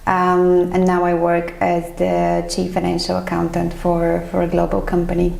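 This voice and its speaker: A woman's voice: on the low side, monotone, moderate pace, gravelly, darkish tone, reverberant, low-quality recording. A woman from Bulgaria in her thirties, a finance professional, answering interview questions in a thoughtful, hesitant way with many fillers. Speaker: chief financial accountant, interview guest. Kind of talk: casual podcast interview.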